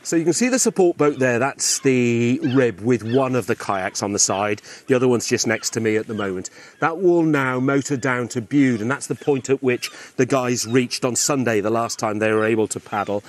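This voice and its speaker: A man's voice: medium pitch, normal speed, slight background noise. A man reporting live outdoors on the coast, chatty and on the spot. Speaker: reporter on location.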